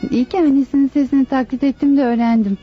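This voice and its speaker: Woman acting a fast, high-voiced sly daughter. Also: fairly even intonation, soft background music, close to an everyday voice. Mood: gloating. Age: young adult. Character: sly, gloating young woman, insistent daughter. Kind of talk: radio theatre acting. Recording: muffled dry radio drama recording.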